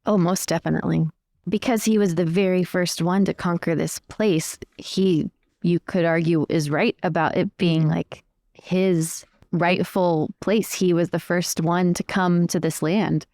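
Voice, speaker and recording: Low-voiced woman improvising stories, close and dry. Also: steady tone, a little slow, darkish tone, no room sound. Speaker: tabletop role-player. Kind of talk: improvised in-character storytelling, conversational.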